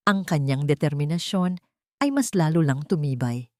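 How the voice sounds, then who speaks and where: A woman with a Filipino accent reads a dramatic romance story in a measured, scripted narration style. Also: sits low, animated, dry recording.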